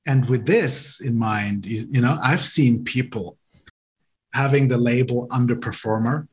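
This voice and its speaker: A man's voice: medium pitch, measured pace. Male podcast guest, native German speaker from Switzerland, explanatory, a few restarts. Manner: explanatory, uses garden metaphors, repeats words. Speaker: podcast guest, leadership coach. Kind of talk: interview conversation.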